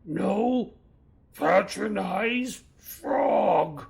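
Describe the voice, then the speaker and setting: An actor voicing a dim, blunt henchman creature in an audio drama, with a croaky voice and halting, simple speech. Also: medium pitch, expressive, heavy background noise, heavily acted. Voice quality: gravelly, croaky.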